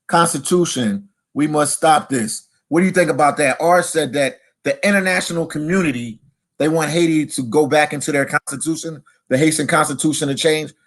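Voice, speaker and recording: A man with a slightly higher voice hosts a casual live-stream interview. He chats loosely and reads viewer comments aloud between questions.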